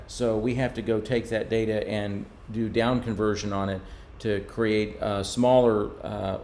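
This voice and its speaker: A man's voice: fairly low pitch, gravelly, darkish tone, a little hiss or hum. A man giving an informal, explanatory technical slide presentation as a company presenter. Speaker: radio manufacturer's presenter.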